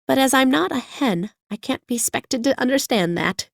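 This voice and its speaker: A woman's voice: sits high, animated, normal speed, creaky, dry recording, studio quality, pitched above the performer's own voice, heavily acted. A woman voicing a polite, curious little girl adrift at sea in a storybook reading. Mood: polite wonder. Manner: polite and wondering.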